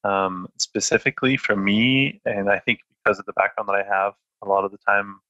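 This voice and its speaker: A low-voiced man talks through his answers as a podcast guest, pausing often with fillers and restarts. He sounds thoughtful and unhurried. The recording is dry and a little dull. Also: sharp tone, no room sound. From born in France, raised in Spain. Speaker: podcast guest, data analyst. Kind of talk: casual interview talk.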